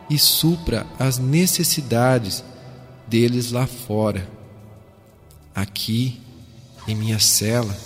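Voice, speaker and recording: A man reads a letter aloud over the radio, solemn and heartfelt. He takes it very slowly, each phrase given weight. His voice trails a long reverb over faint music. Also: medium pitch.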